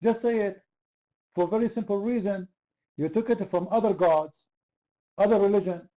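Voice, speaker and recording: A high-pitched man hosting a heated online debate. His voice is very dark and mellow despite the pitch. He hammers the same question over and over. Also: moderate pace, poor audio. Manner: insistent, repeats the same question. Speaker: debate host.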